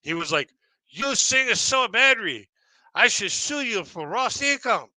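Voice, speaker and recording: A man's voice: high voice, sing-song, measured pace, pushed and bright, no room sound, voice pushed up, exaggerated voice, poor audio. A man voicing an outraged, scolding immigrant bar owner in a stand-up routine. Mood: indignant. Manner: scolding, indignant.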